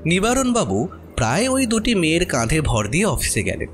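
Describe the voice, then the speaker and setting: A man's voice: fairly high pitch, huge pitch swings, a little fast, slight background noise. A man narrating a horror story expressively from a script.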